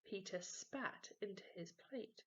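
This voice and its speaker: A woman reading a children's story expressively, with dramatic emphasis and hushed moments. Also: medium pitch, unhurried, slightly rough, muffled recording. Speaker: story reader.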